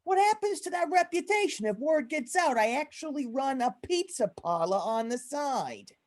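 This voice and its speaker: A woman's voice: sits high, sing-song, normal speed, a little mellow, soft background music. A woman playing an irritable, bossy Italian-American male mob boss in an amateur old-time radio comedy play, talking like an exasperated tough guy. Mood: defensive. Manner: gruff, bossy, exasperated wiseguy talk.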